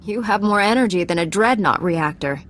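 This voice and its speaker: A woman plays a young female knight in a game cutscene. Her voice is mid-pitched, composed and dryly confident, that of a self-assured fighter. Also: expressive, moderate pace, a bit bright, slight background noise, close to an everyday voice. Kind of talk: dramatic video game acting.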